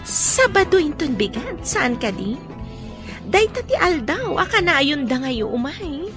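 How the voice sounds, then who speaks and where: Flirty scheming waitress, high swoopy voice, ilocano radio drama, music under it. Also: female voice, big pitch swings, measured pace. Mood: excited, scheming. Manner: playful, teasing.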